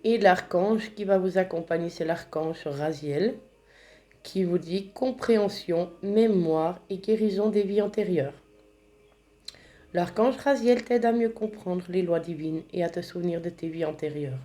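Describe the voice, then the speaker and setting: A woman giving a spiritual oracle card reading, speaking slowly and gently, slightly congested from a cold. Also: fairly low pitch. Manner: slow, gentle, reads card texts aloud.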